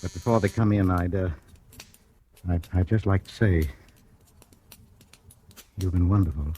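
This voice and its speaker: An actor playing a meek, weary husband with a secret in 1940s radio drama style, halting and stammering. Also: male voice, sits low, warm tone, slight background noise. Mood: resigned, tender. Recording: old radio recording, music behind.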